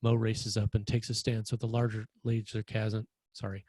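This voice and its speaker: A man's voice: lowish voice, little pitch movement, moderate pace, dark tone, husky. A man reading scripted stage directions as the narrator of a screenplay table read.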